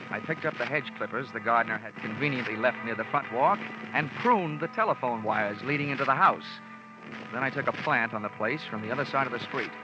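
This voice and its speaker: A man's voice: medium pitch, natural voice. An American man acting a cynical, wisecracking freelance investigator who narrates his case dryly in an old radio detective drama. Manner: dry, wisecracking, clipped storytelling. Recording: dull old radio recording with music.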